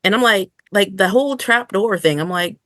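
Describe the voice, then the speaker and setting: A woman hosting a casual podcast review chat, rambling along in an animated way and acting out imagined lines. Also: medium pitch, normal speed, dry recording, studio quality. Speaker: podcast host.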